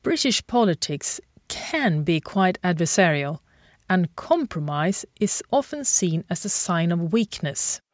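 A woman presenting a radio feature in a measured, reflective scripted narration. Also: lowish voice, very animated, no room sound. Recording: dry, slightly dull studio sound.

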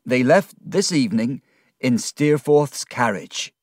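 A man voicing a shaken, grieving young fisherman who speaks slowly and haltingly in a told story. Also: medium pitch, expressive, darkish tone, no room sound, clean studio sound, pitched above the performer's own voice. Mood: quiet, heavy. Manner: slow, heavy, halting.

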